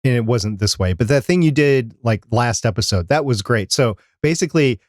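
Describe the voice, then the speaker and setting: A man's voice: medium pitch, animated, normal speed, no echo. Man co-hosting a podcast, dry sound. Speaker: podcast co-host. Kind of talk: casual live podcast chat.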